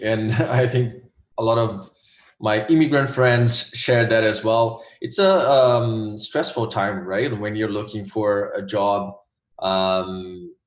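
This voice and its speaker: Young brazilian guy on a video call, kinda low voice, lots of ums, roomy echo. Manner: relaxed, hesitant, many fillers. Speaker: guest, former test taker. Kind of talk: casual interview answers.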